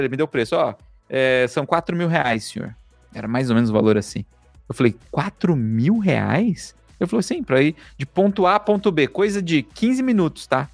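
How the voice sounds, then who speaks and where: A man telling a story in an emphatic, lively way, with swearing and rhetorical questions. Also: on the high side, vocal fry, dry recording. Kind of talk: storytelling monologue.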